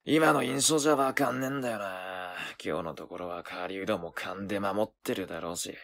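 An actor playing a gruff, hot-tempered young male werewolf in a voice drama, with rough, cocky slangy speech. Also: sits low, moderate pace, fairly bright tone. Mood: scheming. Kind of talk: Japanese voice drama acting.

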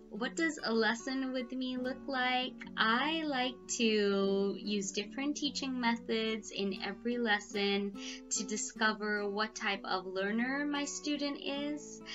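A woman's voice: medium pitch, bright, forceful tone. A young woman, an online English tutor, introducing herself cheerfully into a headset mic in a small room at home. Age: young adult. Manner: cheerful, smiling, measured delivery. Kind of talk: self-introduction video. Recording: slightly dull lo-fi audio with faint guitar music.

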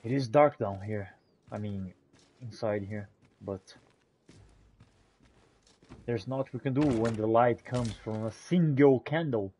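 Man playing a husky, mellow fantasy adventurer. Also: lowish voice, slow pace, pauses often. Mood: musing. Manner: archaic, theatrical phrasing. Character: adult male fantasy adventurer, musing and companionable. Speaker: player role-playing a game character. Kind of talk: in-character gameplay commentary.

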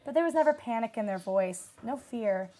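A woman's voice: fairly high pitch, expressive, moderate pace, mellow, lightly acted. A young woman playing a solemn nineteen-year-old disaster survivor giving testimony in a radio drama. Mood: somber, reflective. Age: young adult.

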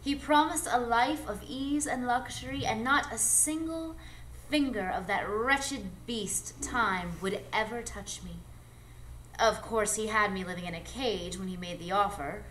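A woman playing an alluring, ageless, mysterious adventuress in a live radio drama, speaking in a measured, coy, theatrical way. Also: fairly high pitch, a bit bright. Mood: poised, confiding. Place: live performance space before an audience. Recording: noisy live stage recording.

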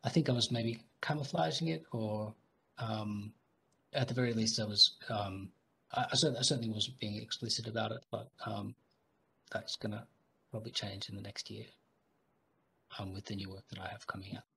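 A man's voice: lowish voice, fairly even intonation, moderate pace, gravelly, bright, forceful tone, a little hiss or hum, rough recording. A young adult man speaking on a video call with a headset, hesitant, with many ums. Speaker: panelist. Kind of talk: video call panel discussion.

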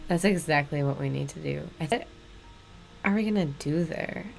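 Gravelly young woman co-hosting a podcast. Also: deep voice, moderate pace, faint music behind. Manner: excitable, giggly, laid-back. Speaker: podcast co-host. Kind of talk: casual chat. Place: home room with green curtain.

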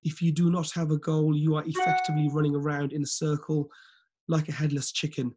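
Slightly croaky man in his forties on a laptop video call, talking in a fairly high, flat voice, earnest team-leader pep talk. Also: brisk, noisy background. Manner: repetitive, many fillers and restarts, earnest. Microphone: laptop webcam on a video call.

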